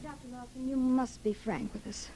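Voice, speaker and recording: An actress playing a lonely, trusting young heiress in a 1940s radio drama, speaking with tender, earnest sweetness. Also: female voice, high voice, fairly even intonation, moderate pace, mellow, faint music behind, natural voice. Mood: hopeful.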